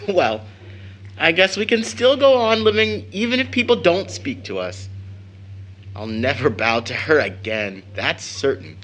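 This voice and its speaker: An actor playing a proud, teasing teenage son in an audio drama of an early 1900s play, with an American accent and a theatrical, boyish manner. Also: male voice, fairly high pitch, lively intonation, measured pace, a bit bright. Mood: defiant. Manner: theatrical, jokingly pompous with slangy boyish talk.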